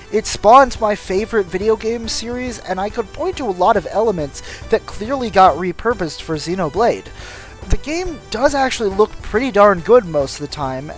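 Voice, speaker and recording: A man reading scripted voice-over commentary as a video game reviewer. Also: high voice.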